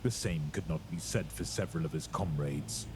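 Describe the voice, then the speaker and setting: A man's voice: a bass voice, normal speed, noisy background. A man narrating a scripted horror audio drama in a dramatic storytelling style.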